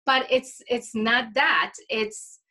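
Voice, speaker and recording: A woman in her forties with a Trinidadian accent, answering interview questions casually, often pausing to find her words. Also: sits high, big pitch swings, sharp tone. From Trinidad and Tobago. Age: 40s. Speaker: interview guest, life coach.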